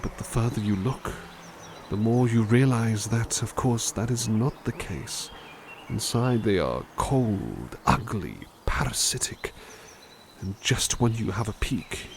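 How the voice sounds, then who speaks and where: A man's voice: lowish voice, vocal fry, background noise, heavily acted. A man playing a kind, courteous butler who reads poems aloud and muses on them in a formal, polite way for an ASMR roleplay. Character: kind, courteous male butler reading to listener.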